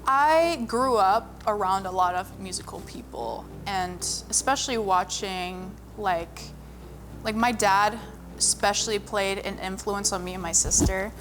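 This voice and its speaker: A woman's voice: medium pitch, unhurried, noisy background. A young woman, a singer and model, talking casually about her music with lots of "like" fillers. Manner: chatty, frequent "like" fillers. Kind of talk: casual personal storytelling. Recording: music playing underneath.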